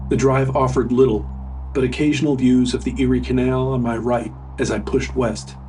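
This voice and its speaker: A man's voice: medium pitch, little pitch movement, normal speed, a little mellow, distant mic, a little hiss or hum, poor audio. A man reading a scripted first-person horror story as a narrator. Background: music.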